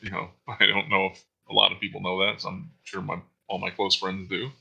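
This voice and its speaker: A man from Florida telling a story in a casual chat. His voice is gravelly with a lot of fry and has a sharp, tense brightness. He talks in a loose, laid-back way and swears freely. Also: low voice, level tone, brisk, rough recording. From Florida, USA. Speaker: co-host.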